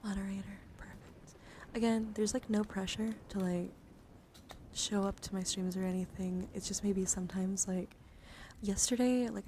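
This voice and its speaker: A woman livestreaming in a casual ASMR style, chatting softly and informally to viewers and often slipping into a breathy whisper. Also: mid-range voice, a little hiss or hum. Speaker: livestreamer hosting a card deck stream.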